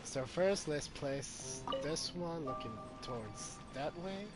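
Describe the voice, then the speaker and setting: Slow, halting man streaming a game over music. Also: fairly high pitch, expressive. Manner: hesitant, thinking aloud. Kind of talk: casual live gameplay commentary.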